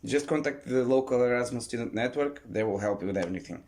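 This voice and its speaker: A man's voice: mid-range voice, animated, quick pace, a little mellow. Guy hosting a chatty podcast, friendly, a bit hesitant. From Portugal. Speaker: podcast host. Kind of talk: casual chat.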